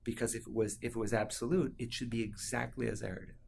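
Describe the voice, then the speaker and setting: A man who is a composer reflecting candidly in an interview, thinking aloud in an animated, halting way. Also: medium pitch, creaky, slight background noise.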